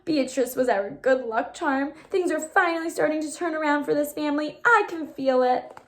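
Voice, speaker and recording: Proud mom for a children's novel performed by a woman: emotional, lifted pitch, gushing with happiness. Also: moderate pace. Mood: tearful joy. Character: proud, tearfully happy mother of a schoolboy.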